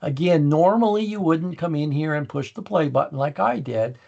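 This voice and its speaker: A man talks through a software demonstration in a casual, explanatory way. His voice has a noticeably creaky, fry-laden edge. He phrases things in a folksy, conversational manner, and the recording sounds slightly dull and muffled. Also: on the high side, normal speed. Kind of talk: casual walkthrough of a software demo.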